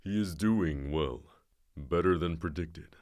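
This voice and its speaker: An actor playing a calm, commanding trainer in an audio drama, coolly insistent, terse and measured. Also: male voice, deep voice. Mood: calm, pointed.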